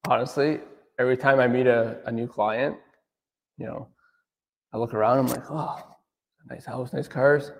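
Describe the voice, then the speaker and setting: A man's voice: mid-range voice, fairly even intonation, moderate pace, vocal fry, long pauses, big-room sound, a little hiss or hum. Rambling man in his thirties. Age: 30s. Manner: rambling, filler-heavy, says "right?" often. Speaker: auto detailer, business owner. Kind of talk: casual interview talk.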